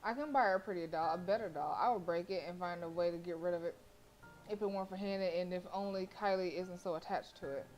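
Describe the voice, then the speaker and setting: Lower-voiced woman streaming a game. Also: fast, poor audio. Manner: expressive, playful asides. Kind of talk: reading game text aloud with casual live commentary. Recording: faint game music behind. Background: game music.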